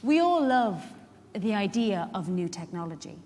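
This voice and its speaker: A woman's voice: medium pitch, very animated, normal speed, dark tone, close to an everyday voice. An Irish woman actor playing a chatty, frank, wry carer in a theatrical monologue, putting pointed questions to her listeners. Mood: earnest, persuasive. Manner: conversational, pointed rhetorical questions. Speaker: actor in a dramatic reading. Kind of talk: theatrical monologue addressed to a live audience. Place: large indoor hall at a public event. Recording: echoey hall, live stage reading.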